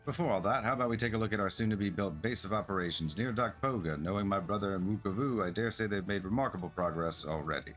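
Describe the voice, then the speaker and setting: A man's voice: on the low side, quick pace, few pauses, a bit bright, lightly acted. A man reading the lines of an eager, earnest, businesslike travel agency entrepreneur from a fantasy game. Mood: hopeful, eager. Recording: music behind the voice.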